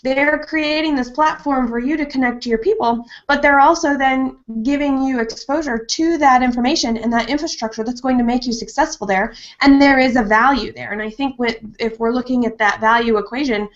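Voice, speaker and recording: A woman's voice: high-pitched, steady tone, fairly quick, few pauses. A middle-aged woman speaking earnestly in a panel discussion over a video call. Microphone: earbuds on a video call. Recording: dull lo-fi video call audio.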